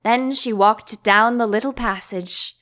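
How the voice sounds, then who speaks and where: A woman's voice: fairly high pitch, a little slow, a bit bright. A woman reading a storybook aloud as an audiobook narrator.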